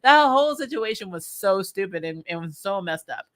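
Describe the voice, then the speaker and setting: A woman giving casual, opinionated commentary as a show host, chatty and animated, with blunt, slangy phrasing. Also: medium pitch, moderate pace.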